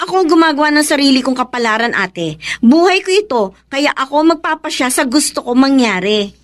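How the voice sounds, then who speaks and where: An actress playing a strong-willed younger sister in a Filipino radio drama, with an emphatic delivery. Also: female voice, sits high, sing-song, a little fast. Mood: defiant.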